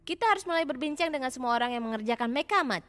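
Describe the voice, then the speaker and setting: A woman voicing a brisk, inquisitive young female sleuth in a cartoon dub. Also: high-pitched, lively intonation, fairly bright tone, no room sound. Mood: brisk, curious.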